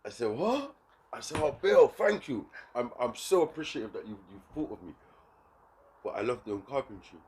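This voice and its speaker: A man's voice: mid-range voice, big pitch swings, normal speed, a little mellow, a little hiss or hum. A man, a podcast guest telling stories in a laid-back way and acting out the dialogue he quotes. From England. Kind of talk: casual chat.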